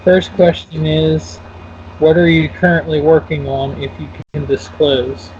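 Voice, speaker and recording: A man's voice: fairly high pitch, flat delivery, measured pace, darkish tone. A man hosting a casual online interview, loose and rambling, with fillers and self-corrections. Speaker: host and interviewer. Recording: dull lo-fi audio with faint noise.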